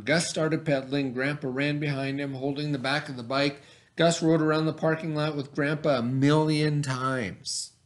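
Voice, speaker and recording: A man speaking as a teacher to children, expressive and encouraging, with dramatic emphasis and pauses. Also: medium pitch. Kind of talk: expressive read-aloud for children.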